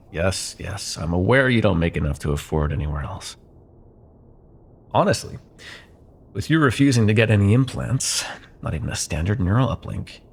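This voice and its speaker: A man's voice: deep voice, vocal fry, slightly rough, heavily acted. A man playing an arrogant, possessive corporate CEO ex-lover in a cyberpunk ASMR roleplay, sardonic and menacing, with condescending pet names. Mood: exasperated scorn. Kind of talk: ASMR roleplay, dramatic monologue.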